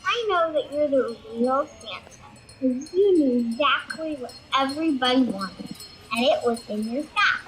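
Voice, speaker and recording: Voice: high-pitched, expressive, a little slow, background noise. A young performer playing a confident, earnest child with an insistent manner and childlike grammar. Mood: sure, admiring.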